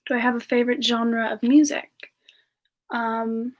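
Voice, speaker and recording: Slow, high-voiced gentle demon woman a woman acts out. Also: level tone. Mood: thoughtful. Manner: soft-spoken, hesitant, often chuckling. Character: gentle, romantic female demon reborn from a past life. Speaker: streamer answering viewer questions in character. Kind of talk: semi-ASMR roleplay Q&A.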